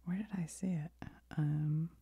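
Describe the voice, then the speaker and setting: A middle-aged woman whispering softly, as in ASMR, her voice airy and raspy with a deep gravelly fry under it. The tone is dark and mellow, and she meanders from one aside to the next. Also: steady tone, unhurried, no echo. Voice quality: soft unvoiced whisper, rambling asides. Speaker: video creator.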